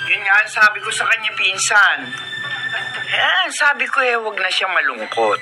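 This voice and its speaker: An actor in a radio drama playing a chatty male cousin who tells gossip in an animated way. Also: high voice, normal speed, rough recording. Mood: gossipy. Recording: old radio broadcast with music.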